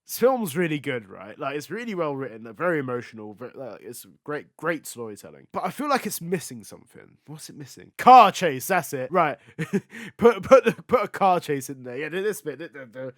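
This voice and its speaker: Male podcast co-host, a little higher-pitched, dry close sound, informal and sweary, slips into mock dialogue. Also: normal speed, hardly any pauses, no room sound. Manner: animated, acts out imagined dialogue, laughs often. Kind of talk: casual film review chat.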